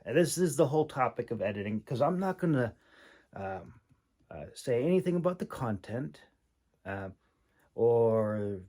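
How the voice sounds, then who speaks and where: A man giving an informal, rambling critique as a host, speaking haltingly with long pauses and repeated words. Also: mid-range voice, big pitch swings, mellow. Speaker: host, independent fantasy writer and audiobook reader giving critique.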